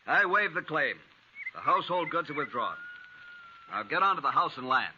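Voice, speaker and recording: An actor playing a greedy, pompous, hard-hearted land grabber in a 1950s radio western, clipped and indignant in manner. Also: male voice, on the high side, very animated, normal speed, noisy background. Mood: indignant, impatient. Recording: muffled old radio recording.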